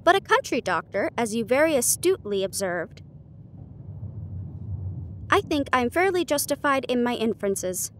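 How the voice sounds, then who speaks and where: Woman voicing a shrewd male detective, higher-pitched, confident, teasing, theatrical, deliberate, storybook reading, some background noise. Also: big pitch swings, a bit bright. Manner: deliberate, declarative deduction.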